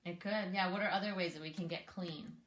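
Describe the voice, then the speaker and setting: A woman reading a children's picture book aloud in an animated, encouraging way, pausing to prompt with questions. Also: fairly low pitch, a little hiss or hum. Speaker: read-aloud host, speech therapist.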